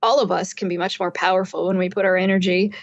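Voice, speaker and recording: A woman's voice: on the low side, flat delivery, fast, no echo, muffled recording. A woman guest expert giving conversational interview advice, explaining things through everyday examples. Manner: explanatory, uses everyday examples and imagined quotes. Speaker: guest expert, psychotherapist and author.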